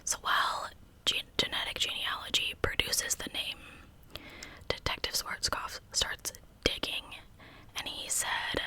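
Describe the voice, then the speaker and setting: A woman telling true crime stories as ASMR in a breathy, unvoiced whisper with a conversational manner. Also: pushed and bright, low-quality recording. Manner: conversational narration.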